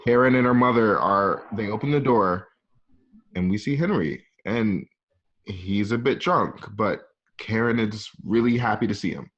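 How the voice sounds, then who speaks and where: Male narrator for a script reading: lowish pitch, informal, halting delivery, lo-fi home sound. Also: fairly bright tone. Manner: halting, casual, present-tense scene description. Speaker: narrator reading stage directions. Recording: dull, lo-fi home recording.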